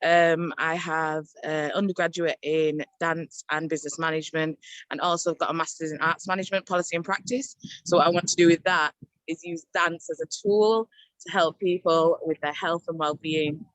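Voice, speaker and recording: A man in his twenties introducing himself as a panelist on a video call, quick and matter-of-fact. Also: on the high side, fairly even intonation, fairly bright tone, dry recording, poor audio. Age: 20s. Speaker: panelist, dance teacher.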